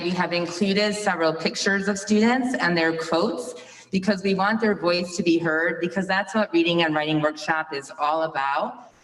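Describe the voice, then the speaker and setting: A woman's voice: fairly low pitch, recorded from a distance. Woman presenting in an echoey hall. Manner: loud teacher voice, upbeat explaining. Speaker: school staff presenter. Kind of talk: presenting to a school board.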